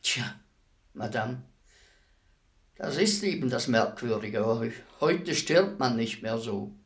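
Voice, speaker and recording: An actor playing a world-weary, philosophical executioner in a radio play, speaking in a deliberate, brooding, respectful way. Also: male voice, mid-range voice, expressive. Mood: resigned, melancholy. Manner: deliberate, brooding, formally addressing a lady. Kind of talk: radio play acting, slow reflective monologue.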